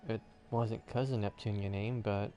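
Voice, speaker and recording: A man talking casually and offhandedly while playing a video game, rambling with hesitant pauses. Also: on the low side, fairly even intonation, warm tone, muffled recording, soft background music. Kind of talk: casual gameplay chat.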